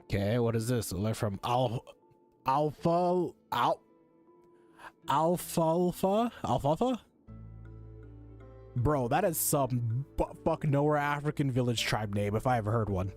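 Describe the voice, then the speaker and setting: A man's voice: mid-range voice, expressive, unhurried, long pauses, a little mellow. A man live-streaming a game, commenting in a casual, slangy way and reading game text aloud. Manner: informal, slangy. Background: game music.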